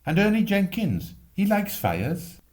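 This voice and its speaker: A man voicing an eager, excitable young boy in a story, with a simple boyish delivery. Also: sits high, unhurried, pitched above the performer's own voice, full character voice. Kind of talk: storybook character voice.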